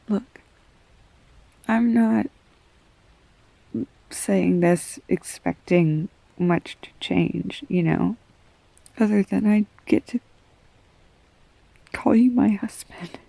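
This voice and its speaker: A woman playing a tender, flustered girlfriend in an intimate roleplay audio, speaking softly and haltingly, often slipping toward a whisper. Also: mid-range voice, vocal fry, no echo, heavily acted, low-quality recording. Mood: earnest, tender. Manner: halting, stammering, soft-spoken, breaking into whispers. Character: tender, flustered girlfriend blurting out a marriage proposal.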